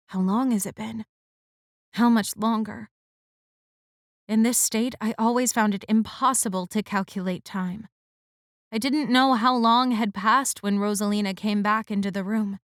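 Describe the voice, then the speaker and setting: A woman reading a first-person romance audiobook in a measured, expressive way. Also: medium pitch, dry recording, studio quality. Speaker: audiobook narrator.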